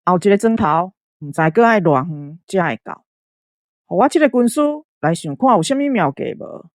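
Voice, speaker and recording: A woman's voice: fairly low pitch, lively intonation, moderate pace, dry recording, studio quality, close to an everyday voice. A woman with a Taiwanese accent playing a young adventurer and would-be strategist in an audio drama. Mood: eager, scheming. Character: young adventurer, self-styled strategist of the travelling trio.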